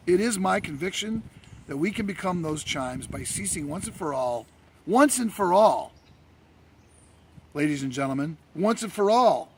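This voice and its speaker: High-pitched, creaky-voiced man orating. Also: a little hiss or hum. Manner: declamatory, emphatic, with dramatic pauses and rhetorical questions. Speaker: writer reading own essay. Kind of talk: theatrical courtroom-style oratory, scripted political monologue.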